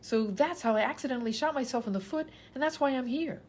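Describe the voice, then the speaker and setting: Actress as an exasperated, high-voiced woman patient. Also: quick pace, a little mellow. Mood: exasperated, pleading. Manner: pleading, exasperated. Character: exasperated, indignant female hospital patient. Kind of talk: comedy radio sketch acting.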